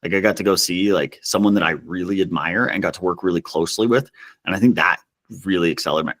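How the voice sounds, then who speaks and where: Low-voiced man chatting in an interview. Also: quick pace, a bit bright. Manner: self-deprecating, chuckles as he talks. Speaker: podcast guest, customer success executive. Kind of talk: casual interview chat.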